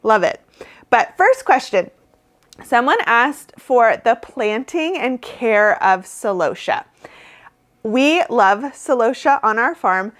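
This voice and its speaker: Woman in her thirties, flower-farm host, talks to camera in an easy, chatty way, slightly higher pitch, a bit stuffy from a cold. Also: expressive, takes it slowly, a bit bright. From Oklahoma, US. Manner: chatty, upbeat, lots of "like" fillers. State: sniffly with a head cold; heavily pregnant. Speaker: flower farmer and channel host. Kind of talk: casual talk to camera.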